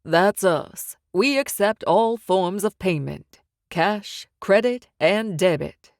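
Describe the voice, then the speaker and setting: A woman's voice: mid-range voice, lively intonation, slow, dry recording, studio quality. Woman doing a smug mob boss drawl. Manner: amused, drawling, sing-song menace. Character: smug male mob boss, older, menacing.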